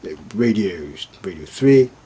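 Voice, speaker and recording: Male product demonstrator, fairly low voice, unscripted and hesitant, walking through steps over slight noise. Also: animated, dark tone. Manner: halting, thinking aloud while operating the device. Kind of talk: informal screen tutorial, unscripted step-by-step demonstration.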